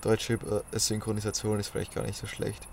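A man's voice: fairly low pitch, completely flat delivery, moderate pace, vocal fry, slight background noise. A man in casual podcast chat, musing and thinking aloud in loose phrasing. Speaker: podcast co-host.

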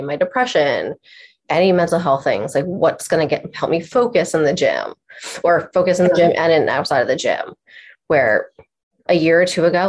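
A woman's voice: sits low, a little mellow. A congested woman guest telling her own story informally, saying "like" a lot and quoting her own thoughts. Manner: chatty, informal, heavy use of "like", quotes her own thoughts. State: stuffy, congested. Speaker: podcast guest, therapist and trainer. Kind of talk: casual personal storytelling.

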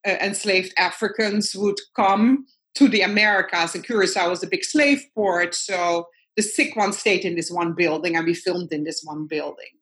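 A woman's voice: fairly low pitch, moderate pace, few pauses, a bit bright. A woman with a Dutch accent, whose first language is Dutch, speaks as a guest artist in a casual interview over a video call. She thinks aloud in a reflective way and circles back to her points.

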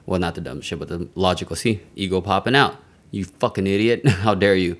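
A young man chatting casually on a podcast and telling animated, profane stories in a booming voice. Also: fairly quick. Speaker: podcast guest.

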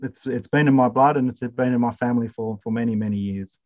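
A man's voice: mid-range voice, fast talker, highs cut off. A middle-aged man from Zimbabwe, a podcast guest talking casually with many fillers and restarts. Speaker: podcast guest, incoming college principal. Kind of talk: casual interview chat.

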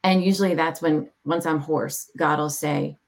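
Low, gravelly-voiced woman sharing testimony. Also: steady tone. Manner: reflective and heartfelt, with measured pauses. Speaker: podcast guest. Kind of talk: personal testimony in an interview.

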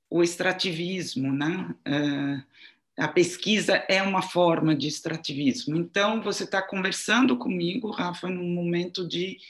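Slow, thoughtful older woman, non-native Portuguese speaker. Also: on the low side. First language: not Portuguese (Portuguese is second language). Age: 60s. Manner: thoughtful, halting, searching for words. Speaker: interviewee, writer and art journalist. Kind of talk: reflective interview conversation.

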